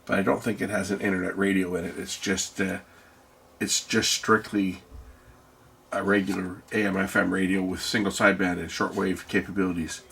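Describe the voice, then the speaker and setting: A man with a lowish, gravelly voice talks casually through a piece of electronics, as if hosting a hands-on video. He muses aloud and puts questions to himself. There is faint noise behind him. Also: little pitch movement, normal speed, fairly bright tone. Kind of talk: casual teardown commentary.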